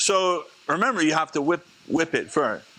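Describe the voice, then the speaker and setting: A man, a chef, teaching a live cooking demonstration in a casual, chatty way, slightly hoarse as he is losing his voice. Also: on the high side, very animated, normal speed, pushed and bright, low-quality recording. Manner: casual, chatty, talks to the class.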